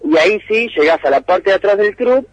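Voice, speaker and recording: A man giving a meandering radio report by phone, pausing often on fillers. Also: high-pitched, animated, no room sound. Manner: meandering, hesitant with many fillers.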